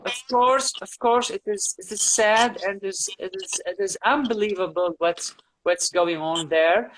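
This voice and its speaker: Tired, halting man from Syria. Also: sits high, a bit bright, a little hiss or hum, low-quality recording. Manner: halting, repeats words, tired. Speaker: guest. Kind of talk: casual live-stream chat.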